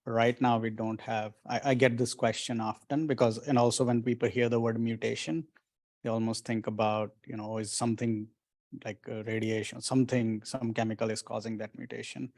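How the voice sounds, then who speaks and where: Flat-voiced man doctor answering. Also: medium pitch. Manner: measured, hedging, many fillers. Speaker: panel doctor. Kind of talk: answering audience questions.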